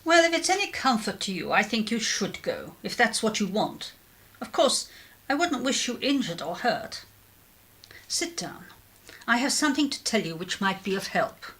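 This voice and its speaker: An actress playing a composed, supportive middle-aged English mother in a radio drama, measured and gently questioning. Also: fairly high pitch, sing-song. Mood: supportive, candid.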